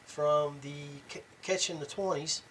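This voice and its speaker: A man hosting a podcast solo in casual talk, often pausing partway through his phrases. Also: on the high side, fairly even intonation, fairly bright tone, a little hiss or hum. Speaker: podcast host.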